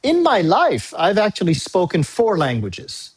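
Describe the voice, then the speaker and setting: A male university English teacher, a native Tagalog speaker, recording a class briefing video; he talks patiently, repeats key dates and fills pauses with "uh". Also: high-pitched, huge pitch swings, husky. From Philippines. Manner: frequent "uh" fillers.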